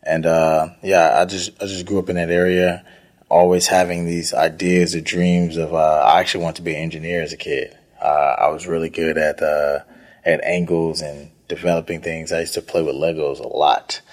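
A man's voice: low-pitched, flat delivery, normal speed. A thirty-year-old man from Houston, Texas, giving conversational answers as an interview guest, hesitant and thinking as he goes. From northeast Houston, Texas. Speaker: interview guest, chef and restaurant owner.

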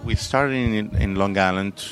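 A man from southern Italy with an Italian accent, a chef telling his story in a casual interview, with many fillers and restarts. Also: lowish voice. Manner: halting, many fillers and restarts, loose grammar.

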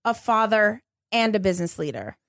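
A woman's voice: medium pitch, big pitch swings, normal speed, creaky, dry recording, studio quality. A woman hosting a podcast in an upbeat, effusive and emphatic way as she interviews a guest. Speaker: podcast host. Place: small indoor studio space.

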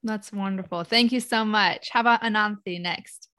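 A woman's voice: mid-range voice, normal speed, bright, forceful tone, clear, resonant tone, no room sound, studio quality. A woman of about forty speaking enthusiastically as a podcast host. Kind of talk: upbeat podcast introduction and hosting.

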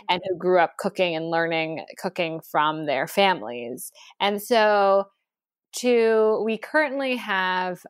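A woman speaking in an animated, conversational way, full of "like" and "um," as she explains how she built her cooking classes. The sound is dry and close, with no room echo at all. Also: medium pitch. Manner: animated, lively storytelling with many fillers. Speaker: founder of a cooking school, guest. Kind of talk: conversational interview.

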